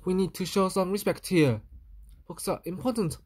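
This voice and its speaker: A woman's voice: fairly low pitch, lively intonation, moderate pace, a little mellow, slightly rough. A woman voicing a fussy, dramatic little boy from a storybook, exclamatory and easily exasperated. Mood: indignant. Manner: exclamatory, indignant.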